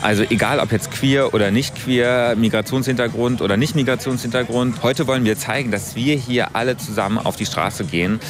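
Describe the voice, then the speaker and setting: A man's voice: medium pitch, fairly quick, few pauses, music in the background. A man speaking as a pride event organizer in an outdoor interview soundbite.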